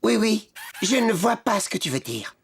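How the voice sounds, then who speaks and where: A man's voice: high voice, very animated, moderate pace, vocal fry, a little hiss or hum, full character voice. A man voicing a timid, fussy, kindly robot with hesitant speech in a French cartoon dub. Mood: confused, sad. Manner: hesitant, with repeated verbal tic.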